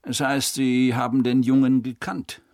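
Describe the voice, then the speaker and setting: A male actor in a German radio crime drama playing a sardonic police investigator who questions pointedly, with a gravelly, husky voice. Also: medium pitch, flat delivery, a little slow, a little mellow, lightly acted. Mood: probing.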